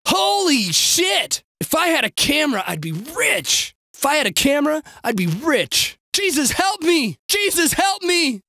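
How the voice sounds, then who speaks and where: A man voicing an excitable, sarcastic city bystander in a video game, with an exaggerated, wisecracking delivery. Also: very high voice, dry recording, clean studio sound, heavily acted. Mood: panicked, then horrified.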